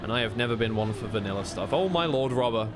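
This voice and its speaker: A man's voice: mid-range voice, lively intonation, quick pace, background noise. A man doing live esports play-by-play commentary in a conversational way, thinking aloud as he goes.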